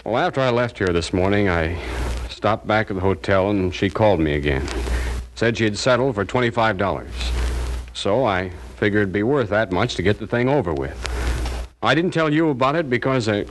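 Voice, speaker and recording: A man's voice: fairly low pitch, expressive, moderate pace, slight background noise, close to an everyday voice, low-quality recording. A man playing a frightened, stammering husband. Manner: stammering, pleading, hesitant. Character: nervous, frightened out-of-town husband. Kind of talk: 1950s radio drama acting.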